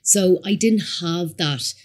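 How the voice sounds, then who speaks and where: A woman's voice: on the low side, slow. A woman in her thirties from Somalia, with a Dublin accent, telling her life story as a guest in a casual interview. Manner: chatty, candid storytelling. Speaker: guest entrepreneur.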